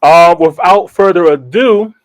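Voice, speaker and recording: A man with a fairly high voice hosts a casual show. He talks in an animated, slangy, welcoming way, like a hype host. The sound is very dry, with no room to it. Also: a little slow, no room sound. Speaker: podcast host.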